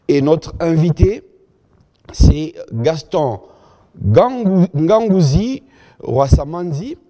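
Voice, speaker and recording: A man hosting a studio interview, measured and full of hesitations. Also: medium pitch, mellow, big-room sound. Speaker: TV talk show host.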